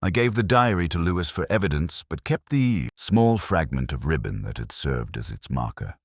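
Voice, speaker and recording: Very deep-voiced man narrating detective fiction. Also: sing-song, dry recording, clean studio sound. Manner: measured, brooding, literary. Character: world-weary, reflective male police detective. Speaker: audio drama narrator. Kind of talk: scripted first-person detective story narration.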